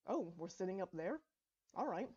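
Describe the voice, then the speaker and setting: A woman's voice: lowish voice, lively intonation, moderate pace, a little mellow, breathy edge. An apologetic young stallion and father, played by a woman narrator, mildly baffled in a storybook tale. The recording is slightly dull. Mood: apologetic, puzzled. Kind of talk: acted dialogue in fan fiction storybook reading.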